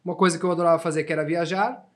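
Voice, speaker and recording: A man from Portugal speaks with a European Portuguese accent, his voice sitting a little high for a man. He talks quickly and chattily, often restarting phrases and tagging questions onto the ends of sentences as he tells stories as a podcast guest. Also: steady tone. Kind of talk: casual storytelling conversation.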